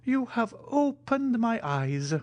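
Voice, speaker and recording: A high, lilting old soldier who fawns and frets, eager to please the count. A man reads him aloud in a storybook, pushing his voice well up. Dry and close. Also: big pitch swings, slow, no echo, dull audio, full character voice. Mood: eager, fawning. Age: older. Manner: timid, fawning, exclaiming. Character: timid, eager old Italian ex-major.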